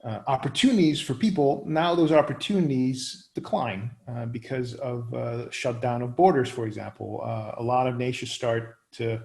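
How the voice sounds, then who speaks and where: Gravelly, hesitant man searching for words. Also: medium pitch, steady tone, normal speed, hardly any pauses, darkish tone. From Netherlands. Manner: many fillers and restarts, searching for words. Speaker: interviewee. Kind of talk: hesitant conversational answers.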